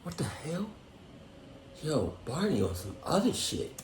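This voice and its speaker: A man's voice: fairly high pitch, very animated, unhurried. A man giving casual, slangy reaction commentary in a blunt, profane way. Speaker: reaction video host. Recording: roomy, echoey recording.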